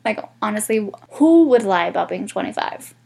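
A woman's voice: mid-range voice, animated, normal speed, creaky, darkish tone, slightly rough. Congested woman vlogger, candid and rambling. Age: 20s. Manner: candid, swears freely, rambling. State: congested, stuffy nose. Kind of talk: casual chat to camera.

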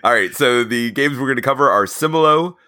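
A man co-hosting a casual podcast while stuffy with a cold, relaxed and wry. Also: medium pitch, brisk, no echo, studio quality. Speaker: podcast co-host.

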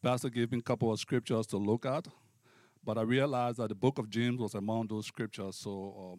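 A middle-aged Liberian-accented man preaches in a fairly low voice, with a close, dry sound. His phrasing is deliberate and devout, like a guest preacher addressing a church. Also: a little mellow, no room sound. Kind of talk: personal testimony and sermon to a congregation.

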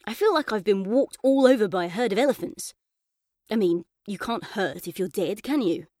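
Boy narrator for a children's audiobook, read by a woman. Medium-pitched, cheeky but thoughtful, expressive, dry recording. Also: moderate pace, no room sound, natural voice. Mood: matter-of-fact. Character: young football-loving boy narrator, thoughtful, cheeky, trapped in coma. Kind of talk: first-person audiobook storytelling.

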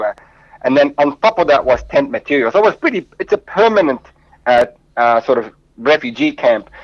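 A man's voice: medium pitch, no room sound, dull audio. A man whose first language is Arabic and who grew up in Lebanon, answering interview questions in English with earnest, heartfelt storytelling and frequent restarts. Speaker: guest.

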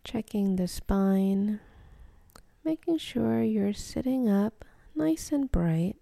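A woman guides a meditation in a very dark, mellow voice with a gravelly fry at the edges. She speaks softly, close to a whisper, and takes her time with gentle, soothing instructions, leaving generous space between phrases. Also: medium pitch, lively intonation, no echo. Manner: soothing, gentle, unhurried, with long pauses.

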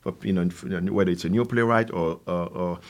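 A man in his sixties with a Haitian accent, answering interview questions conversationally in a halting way with repeated words and asides. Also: low voice. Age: 60s. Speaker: theater company founder, guest.

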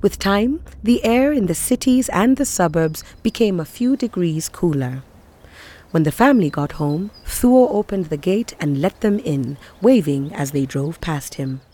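A woman's voice: on the low side, expressive, measured pace, warm tone. A woman reading scripted narration from a novel.

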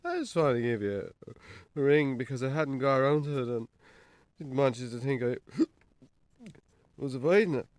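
An actor playing a troubled, hard-drinking Irish farmer in a naturalistic radio drama, halting and sweary. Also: male voice, mid-range voice, big pitch swings, a little mellow, dry recording, lightly acted. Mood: awkward, pleading.

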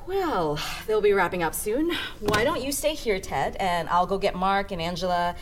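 A woman playing a brisk, friendly office helper in a naturalistic audio drama. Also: mid-range voice, animated, a little hiss or hum, natural voice.

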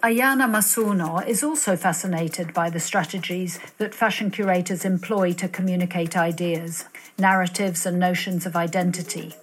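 A woman narrates scripted introductions in a measured, formal way and puts questions to a guest. Faint music plays quietly behind her voice. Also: fairly low pitch, poor audio. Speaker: interviewer and narrator.

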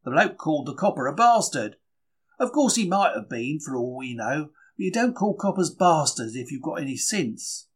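A man voices a young working-class bookseller of the 1930s telling his diary. His voice is fairly high and rough-edged. He is chatty and plucky, wry and quick to take things to heart, with emphatic, colloquial phrasing. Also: sing-song. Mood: gleeful, wry. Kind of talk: dramatic first-person diary reading.

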